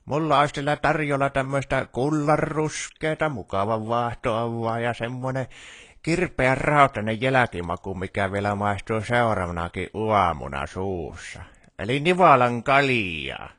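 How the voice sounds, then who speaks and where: A man's voice: medium pitch, a bit bright, dry recording, clean studio sound, lightly acted. A man voicing a grumbly, teasing village shopkeeper in a video game, with a northern Finnish dialect and a drawling, hesitant delivery. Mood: wry, folksy. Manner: folksy, drawling, hesitant stammered starts. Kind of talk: video game character dialogue.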